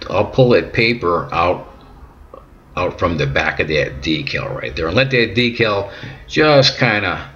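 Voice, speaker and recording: Low-voiced man calmly demonstrating a workshop task. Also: muffled recording. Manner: calm, patient, repeats words, says "okay" often. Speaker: instructor demonstrating decal application. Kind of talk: step-by-step how-to narration. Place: home kitchen and workbench indoors.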